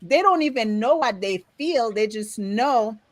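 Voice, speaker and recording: A woman in her forties with a Haitian accent, co-hosting a podcast and telling frank, animated stories with frequent laughter. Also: on the high side, measured pace, no room sound. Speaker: podcast co-host. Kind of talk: candid conversational podcast chat.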